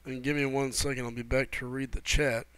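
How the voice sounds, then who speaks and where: A man's voice: medium pitch, flat delivery, a little fast, fairly bright tone, clear, resonant tone, dry recording. A man hosting a live game stream, chatting casually and reading viewers' messages aloud, his throat sore from a cold. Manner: laid-back, greets viewers by name as buddy and brother. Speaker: livestream host.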